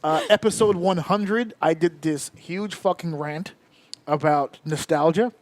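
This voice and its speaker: Guy in his 30s running a podcast, high voice, swears a lot, ranty and emphatic. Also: a little slow. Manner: profane, emphatic and rant-like. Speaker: podcast host. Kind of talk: casual round-table chat.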